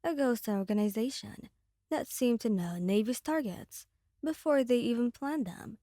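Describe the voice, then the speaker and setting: Mellow woman narrating dramatic roleplay. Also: fairly high pitch, lively intonation, unhurried, no echo, studio quality. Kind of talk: dramatic scripted second-person ASMR narration.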